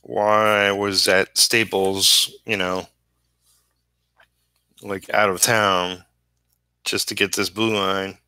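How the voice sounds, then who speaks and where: A man with a fairly low, sharp-edged voice talks in a dry, close sound. He rambles casually as if to a livestream while working, halting often and easygoing about his own shortcomings. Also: level tone, clean tone, dry recording. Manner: halting, trailing off mid-thought. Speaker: comic book inker live streaming.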